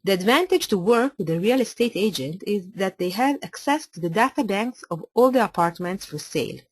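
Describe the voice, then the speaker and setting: A woman from Italy, a native Italian speaker with an Italian accent, presenting a webinar as an architect in careful, slightly halting English. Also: mid-range voice, lively intonation, slightly rough, dry recording.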